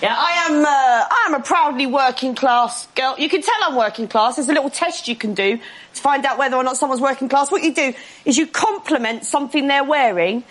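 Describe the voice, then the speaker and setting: A woman's voice: high-pitched, fairly quick, fairly bright tone. A British woman doing a chatty, self-mocking stand-up set. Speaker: stand-up comedian.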